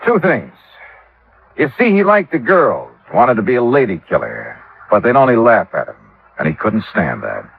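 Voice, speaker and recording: A man's voice: fairly low pitch, sing-song, moderate pace, gravelly. An American actor playing a tough, laconic insurance investigator who tells his case in a clipped, wry 1950s radio detective style. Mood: weary, explaining. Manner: clipped, wry, hard-boiled. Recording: muffled old radio recording, faint music.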